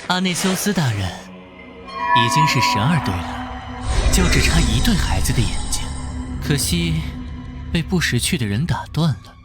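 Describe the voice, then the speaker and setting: A man playing a servile, calculating cult villain in an animated drama, theatrical and conspiratorial. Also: a little high, unhurried, loud music behind the voice. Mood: sinister, scheming. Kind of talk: Chinese animated drama voice acting.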